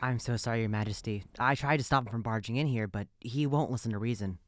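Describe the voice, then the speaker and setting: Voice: medium pitch, quick pace, no echo, close to an everyday voice. An actor in an audio drama playing an earnest, loyal royal retainer with a formal, declamatory manner. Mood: apologetic.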